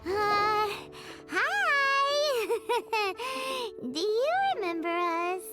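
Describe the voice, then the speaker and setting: A woman's voice: extremely high pitch, extremely slow, loud music behind the voice, heavily acted. A woman voicing a bubbly, mischievous teenage girl in a video game, with a playful, chirpy, breezy way of talking. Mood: awkward cheer.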